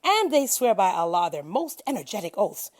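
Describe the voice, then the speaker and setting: A woman's voice: medium pitch, big pitch swings, moderate pace. A woman reading a translation of scripture aloud as devotion, in a solemn, declamatory manner with emphasis on key phrases. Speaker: scripture reader.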